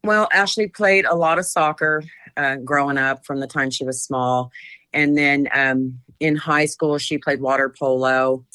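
A woman's voice: very deep voice, measured pace, pushed and bright, smooth. A woman in her fifties answering interview questions, thoughtful and hesitant with many fillers. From United States. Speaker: interview guest.

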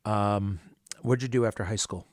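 A man hosting an interview, asking rapid, halting questions full of fillers. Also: on the low side, no room sound, studio quality. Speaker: interview host. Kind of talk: podcast interview.

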